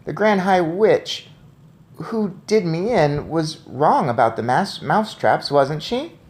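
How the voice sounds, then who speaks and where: A woman voicing a clever, eager boy who is a talking mouse, in an excited, emphatic storybook reading. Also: low-pitched, slow, voice pushed up. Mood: matter-of-fact. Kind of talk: storybook read-aloud.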